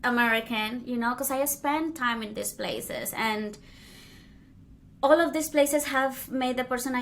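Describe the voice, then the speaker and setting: Expressive woman. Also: on the high side. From Colombia. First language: Spanish. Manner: expressive, playful storyteller. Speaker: podcast host. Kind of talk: casual interview chat.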